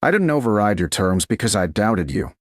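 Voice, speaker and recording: A man acts out a controlled, protective duke from a medieval romance audiobook. He is terse and measured, with a low voice, recorded dry and close. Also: big pitch swings, no room sound. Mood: intense, intimate. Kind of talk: audiobook character dialogue.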